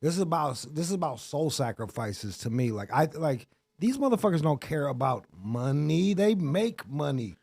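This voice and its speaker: A man's voice: a little high, big pitch swings, normal speed, hardly any pauses, a little mellow, no room sound. A man speaking as a podcast guest in slangy, profane casual chat, rambling with frequent restarts.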